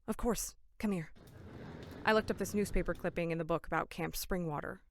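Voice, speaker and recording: A woman's voice: lowish voice, fairly quick, slight background noise, lightly acted. A woman acting in an audio drama as a level-headed young camp counselor, sincere, polite and matter-of-fact. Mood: helpful.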